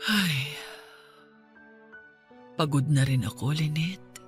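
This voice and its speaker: A very deep-pitched, frail older woman, weary and tender. She is an ailing nanny in a radio drama, speaking haltingly over music. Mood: weak, confessional. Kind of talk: Tagalog radio drama acting.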